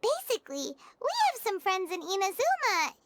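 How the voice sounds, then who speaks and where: A woman voicing a small, chirpy, excitable childlike fairy companion in a video game. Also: very high-pitched, moderate pace, very noisy. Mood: chirpy, eager. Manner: talks of herself in third person, excitable. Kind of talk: animated video game voice acting.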